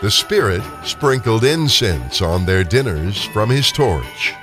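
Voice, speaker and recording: Guy narrating an old story for radio theater, lively swooping mid voice, faint music behind. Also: on the low side, slow. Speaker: narrator and performer. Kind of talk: dramatic scripted storytelling narration.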